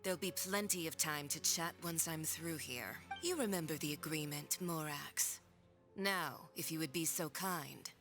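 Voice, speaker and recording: A sardonic, coldly commanding villainess for a video game cutscene. She drawls slowly in a lowish register, full of condescension, with faint music underneath. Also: a bit bright. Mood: imperious.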